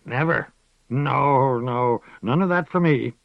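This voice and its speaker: Man doing old earthy witch woman, folksy rustic speech, high and singsong, dull lo-fi dry recording. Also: slow pace, creaky, no room sound. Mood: folksy, knowing. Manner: folksy rustic speech, calls people dearie; rocking, chanting incantation. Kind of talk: audiobook character voice.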